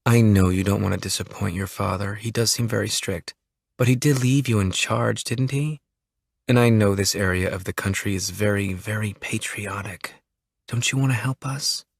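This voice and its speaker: A man's voice: fairly low pitch, moderate pace, dry recording, natural voice. A man voicing a female army special agent with a dry, teasing humor. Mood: coaxing.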